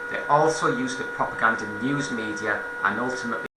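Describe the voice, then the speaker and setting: A man giving an informal political monologue as a commentator, halting and self-correcting, repeating phrases for emphasis. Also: medium pitch, reverberant, very noisy.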